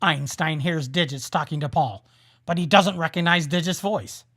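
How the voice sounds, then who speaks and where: A woman's voice: low voice, normal speed, fairly bright tone. A woman narrating a scripted audio drama as the storyteller.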